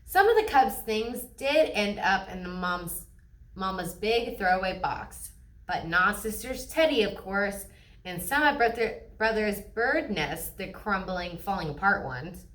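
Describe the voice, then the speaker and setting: A woman's voice: medium pitch, lively intonation, measured pace, a bit bright, distant mic. A woman storyteller reading a children's picture book aloud expressively. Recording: echoey room.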